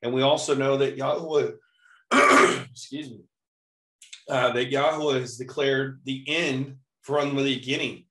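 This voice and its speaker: Male teacher, hoarse and throat-clearing, relaxed chatty sermon, echoey room. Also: medium pitch, unhurried, slight background noise. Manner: relaxed, chatty, with light laughs. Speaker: Bible teacher. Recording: roomy echo.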